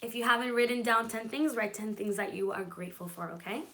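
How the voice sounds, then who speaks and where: A woman's voice: on the high side, fast, fairly bright tone. Woman, native Hebrew speaker, live stream host, casual chat, reassuring, checks in often. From California. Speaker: livestream host. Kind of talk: casual live stream answering viewer comments.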